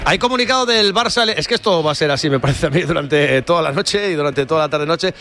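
A man with a Spanish accent from Spain hosting live sports talk, musing haltingly and stretching out words. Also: fairly high pitch, fairly bright tone, a little hiss or hum. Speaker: sports radio host.

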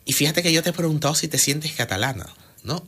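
A man hosts a streamed radio talk show with a bright, forceful voice. He speaks in a measured, reflective way, explaining his thoughts at length to his guest and his listeners. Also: on the high side, lively intonation, slightly rough. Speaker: radio host. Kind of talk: radio interview, reflective commentary.

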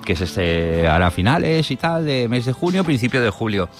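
A man's voice: medium pitch, very animated, normal speed. A man co-hosting a casual talk show in an informal, rambling way. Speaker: sports talk show co-host. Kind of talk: casual chat. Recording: music playing behind the talk.

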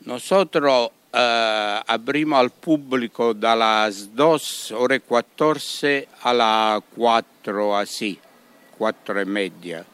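Slow Italian-accented man, smooth voice. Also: medium pitch, a bit bright, no echo. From Italy, Amalfi coast area. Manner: halting, slips Italian words into his sentences. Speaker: restaurant owner. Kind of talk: interview guest.

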